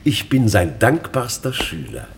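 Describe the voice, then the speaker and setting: Gloating, brutal Soviet police inspector. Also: male voice, mid-range voice, wildly swooping pitch, unhurried, gravelly, slight background noise, exaggerated voice. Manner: mocking, smug, theatrical. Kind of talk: German radio crime drama acting.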